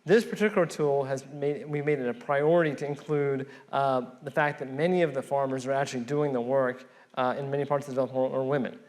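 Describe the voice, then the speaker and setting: A man's voice: mid-range voice, steady tone, fast talker, few pauses, darkish tone. A man from Pakistan whose first language is Urdu, speaking on a conference panel with many fillers and restarts.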